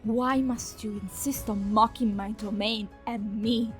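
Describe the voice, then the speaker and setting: Woman as a high-voiced, haughty nature goddess. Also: fairly even intonation, a little slow, faint music behind, natural voice. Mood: offended. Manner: formal, accusatory, emphatic. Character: haughty, easily offended goddess of natural life. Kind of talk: fantasy audio drama acting.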